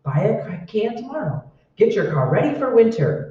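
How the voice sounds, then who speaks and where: A performer playing an upbeat 1940s radio commercial announcer, giving an emphatic scripted sales pitch. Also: very animated, normal speed, mellow, natural voice. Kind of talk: 1940s radio advertising read. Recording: echoey room, distant mic, muffled.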